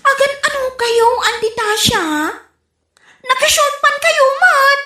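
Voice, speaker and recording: A woman with a northern Philippine accent playing an excitable, nosy young woman in a comedy radio drama, exclaiming shrilly. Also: very high voice, slow pace, faint music behind, heavily acted. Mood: concerned, surprised. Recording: roomy, echoing voice recording.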